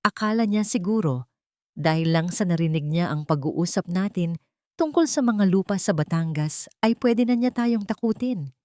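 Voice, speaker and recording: A woman's voice: fairly low pitch, expressive, moderate pace, a little mellow, no echo, natural voice. A woman voicing a scheming young female villain in an audio drama, cold, smug and mocking. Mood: menacing contempt.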